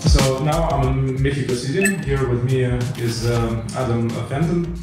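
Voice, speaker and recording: A man's voice: mid-range voice, normal speed. A man hosting an interview, asking questions hesitantly with fillers. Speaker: interviewer, series host. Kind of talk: casual interview. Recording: echoey room, far from the mic, music behind.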